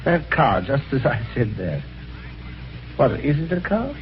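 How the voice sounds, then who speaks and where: A genial, wry British gentleman tells his adventure in a vintage radio mystery. His pitch swings very widely as he chats in a jaunty, old-fashioned way. The recording is dull, with faint noise. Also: very animated, unhurried. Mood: jovial, musing. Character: genial, chatty, helpful husband telling his adventure. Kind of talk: 1950s radio drama acting and first-person narration.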